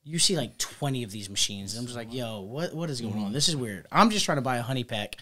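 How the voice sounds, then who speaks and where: A man in his thirties co-hosting a podcast, swearing freely and telling stories with lots of energy. His voice sits in an ordinary middle pitch. He is recorded close on a stand mic in a small studio room. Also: fast talker. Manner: loose, profane, animated storytelling. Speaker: podcast co-host. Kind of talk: casual chat. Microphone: studio mic on stand, headphones.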